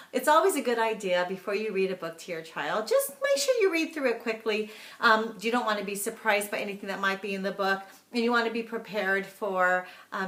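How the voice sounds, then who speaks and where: A woman speaking as a teacher, giving instructional tips to parents in an animated, emphatic manner. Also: mid-range voice. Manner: animated, emphatic, acts out example questions. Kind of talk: instructional talk to camera. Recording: echoey room sound.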